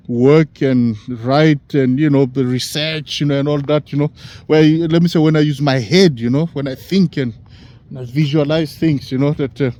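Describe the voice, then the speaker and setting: A man's voice: on the high side, mellow. Rambling African man in his sixties. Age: 60s. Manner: halting, heavy on "you know" fillers. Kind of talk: casual rambling monologue.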